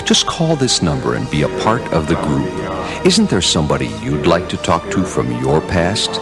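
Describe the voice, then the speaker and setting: An extremely deep announcer beckons in a solemn, theatrical ad style. The pitch swoops dramatically from phrase to phrase, with music playing clearly behind. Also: male voice, sing-song, moderate pace, heavily acted. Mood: spooky inviting. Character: solemn, inviting male announcer for a phone seance. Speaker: commercial announcer. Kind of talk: spooky television ad voice-over.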